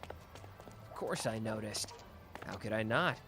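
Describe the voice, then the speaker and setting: An actor playing a hot-tempered but protective young man who talks gruffly and swears in an audio roleplay. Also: on the low side, over music. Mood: warm. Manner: gruff, swearing, quick to snap. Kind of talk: ASMR roleplay acting.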